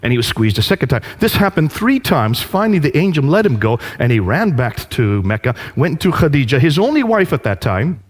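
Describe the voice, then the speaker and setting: Male lecturer, average pitch, engaging storyteller who quizzes the audience. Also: a little fast, few pauses. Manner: animated storytelling with rhetorical questions to the audience.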